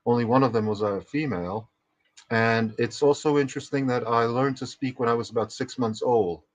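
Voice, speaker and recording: A man's voice: mid-range voice, fairly quick. Methodical Czech-accented man in his fifties. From Czech Republic. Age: 50s. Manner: precise, methodical storytelling. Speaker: co-host. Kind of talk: livestream conversation.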